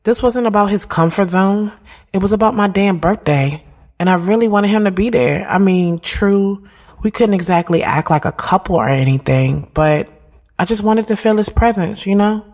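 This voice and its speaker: A woman's voice: mid-range voice, moderate pace, a little mellow, lightly acted. A woman playing a reflective, conflicted thirty-year-old who narrates her own audio drama in a candid, slangy, conversational way. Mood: annoyed. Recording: music behind the voice. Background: music.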